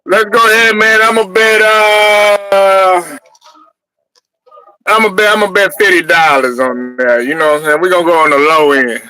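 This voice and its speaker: Animated, bright-voiced man hosting. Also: sits high, moderate pace. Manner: animated, trash-talking, laughs and hollers. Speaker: podcast host. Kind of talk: casual sports chat.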